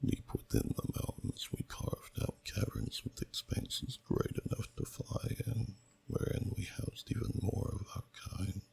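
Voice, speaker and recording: A man voicing an ancient, solemn dragon who slowly tells a story in a deep, gravelly, breathy half-whisper, in ASMR style. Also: a little mellow, slight background noise, full character voice. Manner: slow, solemn, deliberate, archaic phrasing. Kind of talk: ASMR storytelling, scripted narration.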